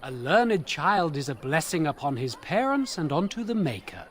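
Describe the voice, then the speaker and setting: A man's voice: on the high side, very animated. A man playing a pious chanter in a video game who speaks only in solemn, formal scripture verse. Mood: pious, declamatory. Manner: declamatory, measured, formal.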